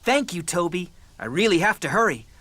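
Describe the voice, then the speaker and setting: A woman voicing an eager, earnest young male steam engine in a children's cartoon, with a polite, excitable manner. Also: on the low side, a little fast, no room sound. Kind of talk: children's cartoon character acting.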